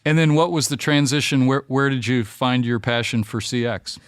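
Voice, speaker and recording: A man's voice: mid-range voice, normal speed, clean tone, dry recording. A man in his fifties hosting a podcast, reading a polished intro and then chatting with his guest in a friendly way. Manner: friendly, polished host delivery. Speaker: podcast host. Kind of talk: scripted intro, then interview chat.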